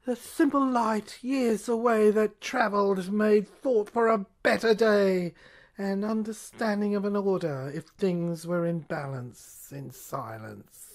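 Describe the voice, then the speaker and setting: A woman narrates an audio play in a mid-pitched voice. Her delivery is a theatrical scripted monologue, broken into halting phrases that sometimes sink toward a whisper.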